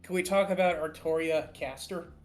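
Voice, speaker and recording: Low-voiced woman cracking dry jokes. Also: normal speed, darkish tone, faint music behind. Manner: dry joking quips. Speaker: co-host. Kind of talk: casual stream chat.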